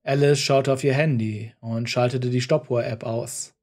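Male narrator, medium pitch, scripted audiobook-style storytelling. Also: fairly even intonation, moderate pace, darkish tone.

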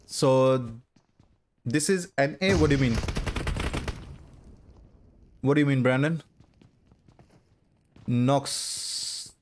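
A man's voice: mid-range voice, extremely slow, long pauses, a little hiss or hum. A man, live-streaming a mobile game from a small room and bantering with viewers in chat. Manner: switches between Urdu and English, bantering with chat. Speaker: gaming streamer. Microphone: headset.

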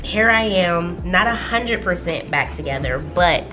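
A woman's voice: fairly low pitch, animated, a little slow, over music. A woman with a stuffy cold voice, chatting casually and laughing often as she works through her budget planner on camera. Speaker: budgeting vlogger. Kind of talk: casual chat while working in a planner. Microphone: camera overhead on a desk.

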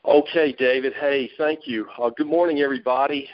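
A man's voice: medium pitch, fairly even intonation, a little fast, dry recording. Man from Mississippi, motivational guest. Folksy storyteller, emphatic repetition, dry lo-fi call sound. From Mississippi, United States. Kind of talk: folksy storytelling pep talk. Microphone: phone conference call. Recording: dull, dry phone line.

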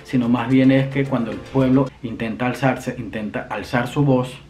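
A man's voice: medium pitch, fairly even intonation, moderate pace, darkish tone, faint music behind. A young man from Venezuela with a Venezuelan accent, talking earnestly to camera as a vlogger from a room at home, gesturing with his hands. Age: young adult. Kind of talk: casual explainer to camera.

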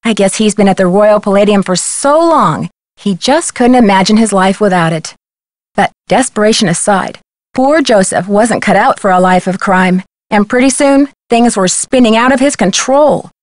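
A woman acts as a level-headed teenage girl sleuth from a mystery game. She speaks at a middling pitch in a composed, conversational manner. The recording is dry and close, with no room sound. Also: expressive, moderate pace, no echo, close to an everyday voice. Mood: reflective. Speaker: video game voice actor. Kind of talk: scripted game dialogue and letter narration.

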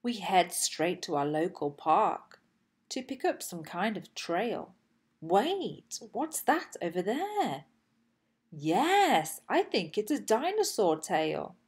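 A woman reads a picture book aloud for children with an animated, swooping delivery. She takes her time and stresses phrases deliberately. Her voice has a soft, breathy edge. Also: medium pitch. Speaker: host and children's storybook reader. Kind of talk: expressive read-aloud storytelling for children.

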